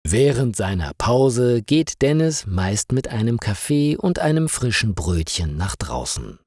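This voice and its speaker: A man's voice: medium pitch, very animated, measured pace, darkish tone, no room sound, clean studio sound. A man reading a scripted story for language learners as its narrator.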